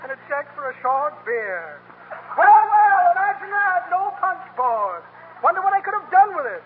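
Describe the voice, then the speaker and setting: A man's voice: very high-pitched, big pitch swings, moderate pace. An actor playing a roguish, breezy windbag con man in a 1930s radio comedy, with pompous, flowery, rambling patter. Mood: gleeful, breezy. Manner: rambling, flowery patter while listing pocket contents. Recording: noisy, tinny 1930s radio broadcast.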